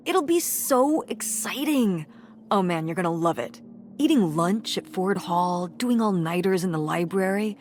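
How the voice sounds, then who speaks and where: A woman's voice: lowish voice, lively intonation, unhurried, a little hiss or hum. An actress playing a middle-aged mother in a story game, nostalgic about her college days and firm with her daughter. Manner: warm nostalgic, then firm and dismissive. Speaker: game character. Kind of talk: voiced game dialogue.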